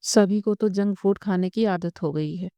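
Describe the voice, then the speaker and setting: A woman voicing a calm, resigned mother and elder daughter-in-law, measured and gentle, in a cartoon family story. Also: mid-range voice, dry recording, natural voice. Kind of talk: Hindi cartoon story dialogue.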